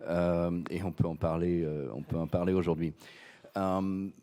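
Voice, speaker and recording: A man's voice: sits low, steady tone, measured pace, warm tone, clean tone. A man from France, head of a cognac firm, speaking hesitantly on a conference panel with many fillers and repeated words. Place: conference hall.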